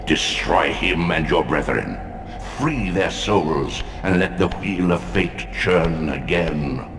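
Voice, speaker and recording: Game deity, male: ancient, commanding, portentous, very slow and gravel-throated, echoing over music. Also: full character voice. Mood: commanding, persuasive. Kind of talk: dramatic video game voice acting. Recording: booming cavernous reverb, music behind.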